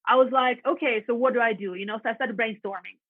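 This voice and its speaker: A woman's voice: fairly high pitch, fast talker, breathy edge, dry recording. A woman in her thirties from Latvia with a Russian accent, telling her story in a chatty, earnest way as a podcast guest. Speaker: realtor guest. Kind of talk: casual podcast interview. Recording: tinny phone-like line, dry.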